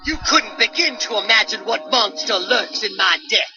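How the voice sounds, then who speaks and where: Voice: mid-range voice, expressive, normal speed, bright, forceful tone. A performer voicing a smug, boastful card duelist who teases that his monster will soon be revealed. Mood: taunting. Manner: taunting, repeating the same tease. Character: boastful duelist, smugly teasing. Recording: muffled clip with music behind.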